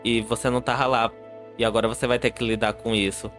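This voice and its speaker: A young Brazilian man tells a story for an animation video, with clear music behind him. His delivery is playful and self-mocking, tipping into mock drama. The voice sits at an average male pitch. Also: level tone, quick pace. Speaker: animator and YouTuber.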